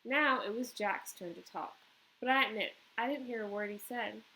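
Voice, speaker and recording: A woman's voice: on the high side, normal speed, sharp tone, low-quality recording. A woman teacher reading a children's book aloud and explaining it to her pupils.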